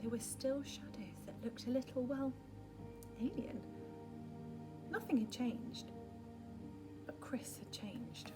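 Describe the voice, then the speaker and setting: A woman reading a picture book aloud for young children, dramatic and expressive, often dropping into a hushed, breathy tone. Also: fairly high pitch, slow, long pauses, music in the background, poor audio.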